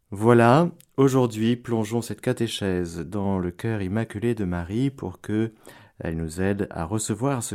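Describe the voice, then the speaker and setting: A male priest giving a radio sermon, preacherly, rising to loud exclamations and dropping to hushed prayer. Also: low-pitched, creaky. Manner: slow, deliberate delivery. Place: radio studio.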